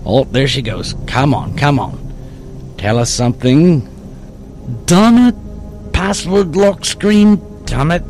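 An eager young rookie cop played by a man in a narrated crime story. He sounds excitable, with his pitch lifted, and music plays clearly behind him. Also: measured pace, lightly acted. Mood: eager coaxing. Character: eager young male rookie policeman, excitable tech helper.

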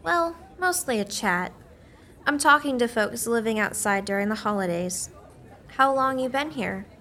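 Woman doing a curious lady reporter for a radio play, high bright voice, earnest, talks naturally. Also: lively intonation, normal speed. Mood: friendly, probing. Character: earnest, ambitious woman small-town newspaper reporter.

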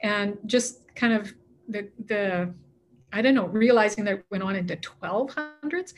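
A woman's voice: medium pitch, unhurried. A woman telling a travel story in casual conversation, rambling, with many fillers and restarts. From Canada.

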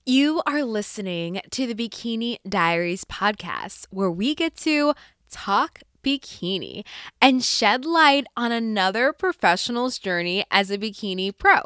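A woman's voice: mid-range voice, sing-song, a little slow, fairly bright tone, smooth, no echo, clean studio sound. A woman hosting a podcast, presenting and interviewing. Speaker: podcast host.

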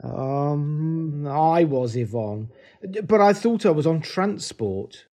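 A man's voice: on the high side, animated, takes it slowly, warm tone. A man playing a hapless, oversharing political staffer, hesitant and glum, in a comedy drama. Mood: timid. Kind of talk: comedy audio drama dialogue.

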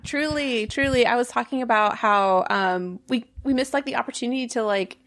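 A woman's voice: on the high side, normal speed, a bit bright. A woman in her thirties, a podcast guest chatting casually, with many fillers and frequent laughter. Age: 30s. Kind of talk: casual chat.

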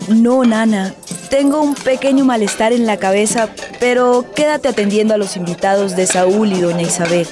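An actress playing a proud, brooding young bride torn by forbidden love in a melodramatic audio drama. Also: female voice, medium pitch, normal speed, close to an everyday voice. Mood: composed. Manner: imperious, brooding. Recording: loud music bed under the voice.

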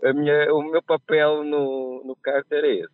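A man from Portugal with a Portuguese accent softened by Brazilian speech, telling stories with a laugh as a podcast guest. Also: fairly high pitch, flat delivery, unhurried, dry recording, faint music behind. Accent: Portuguese, softened by years in Brazil. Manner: chatty, laughs at his own mishaps. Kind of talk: casual storytelling. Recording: tinny phone line.

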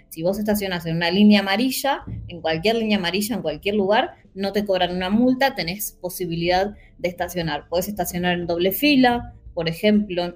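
Earnest Argentine woman in a discussion. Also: medium pitch. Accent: Rioplatense Spanish. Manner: earnest, animated, many fillers. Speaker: panelist. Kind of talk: casual discussion.

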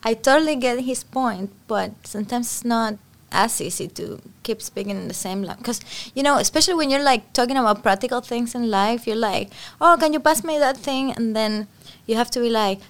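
A lively woman, talking in English as a guest on a podcast. Her voice sits a little on the high side, and she chats in a loose, expressive way. She laughs easily and keeps reaching for "like" and "you know". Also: brisk. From Cuba. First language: Spanish. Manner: chatty and expressive, laughs often, many "like" and "you know" fillers. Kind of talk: casual conversation.

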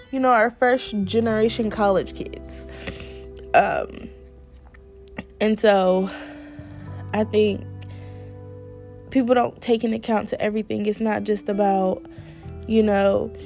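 Slightly hoarse woman, casual podcast chat. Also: medium pitch, mellow. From United States. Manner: chatty, candid, lots of fillers. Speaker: podcast host. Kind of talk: casual solo talk.

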